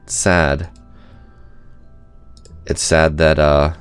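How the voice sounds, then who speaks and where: A man's voice: very deep voice, clean tone, dry recording. A man with a cold and a congested, nasal voice, thinking aloud over a game he is playing. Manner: thinking aloud, rambling with fillers. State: stuffed up with a cold. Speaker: gaming channel host. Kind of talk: let's play commentary.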